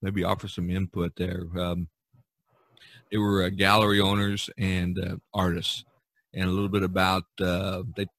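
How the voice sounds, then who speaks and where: A man hosting an artists' podcast over a video call, rambling and halting with many fillers. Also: deep voice, a bit bright. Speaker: podcast host. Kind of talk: casual video-call chat.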